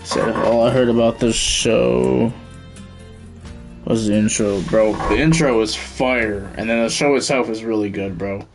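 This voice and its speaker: A young man talks as a game streamer in a fairly low voice. His chat is casual and slangy, wandering and repeating itself, with faint music underneath. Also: steady tone, unhurried, vocal fry. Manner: slangy, rambling, repetitive.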